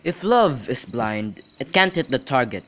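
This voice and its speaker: A man's voice: medium pitch, very animated, unhurried, fairly bright tone. A young man playing a bawdy, mock-grand friend in an amateur Shakespeare radio drama, with theatrical, teasing delivery. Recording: noisy home recording with clicks.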